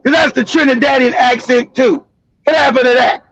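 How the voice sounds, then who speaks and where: A man hosting a livestream, ranting loudly and mockingly with sudden shouts. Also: extremely high pitch, steady tone, normal speed, no room sound. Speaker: host. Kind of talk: angry ranting livestream commentary. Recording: dull lo-fi stream audio.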